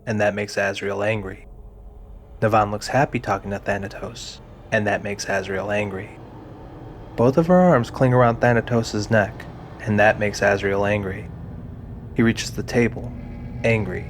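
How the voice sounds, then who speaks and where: A man narrates a fantasy audio drama in a deliberate storytelling style. His voice is fairly low and stays level, with faint music playing behind it.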